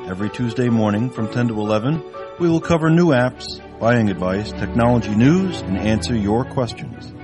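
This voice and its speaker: A man's voice: mid-range voice, animated, moderate pace, music in the background. A man hosting a show, reading a scripted radio promo in an upbeat way. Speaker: show host.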